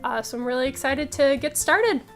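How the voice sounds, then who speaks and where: A woman reading a picture book aloud for a children's storytime, expressive and deliberate, adding short asides. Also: high voice, soft background music. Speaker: children's librarian, storytime reader.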